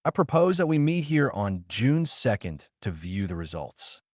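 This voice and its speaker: A man voicing a formal, official male judge in an audiobook, speaking in a measured way. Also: medium pitch, very animated, a little mellow, no echo, clean studio sound. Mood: businesslike.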